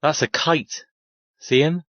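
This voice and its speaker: A woman's voice: low voice, steady tone, takes it slowly, fairly bright tone, dry recording, highs cut off, pitched below the performer's own voice, heavily acted. A woman voicing a guarded, sardonic young man with clipped speech and casual British slang, as a character in an audiobook. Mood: tense, measured. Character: guarded young male horseman, sardonic, tightly wound.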